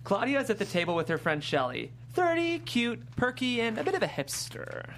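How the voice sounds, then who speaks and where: A woman reads scene headings and stage directions from a script. Her narration moves around a lot in pitch and is plainly scripted. There is clear noise and hum behind the voice. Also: big pitch swings, a little fast. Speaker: stage-direction reader at a table read.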